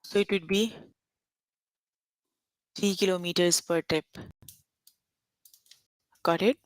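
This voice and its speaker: A woman's voice: lowish voice, fairly even intonation, takes it slowly, no room sound, rough recording. A young adult woman teaching a live online math lesson over a computer mic, stopping often to check that students follow. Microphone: computer mic on an online call.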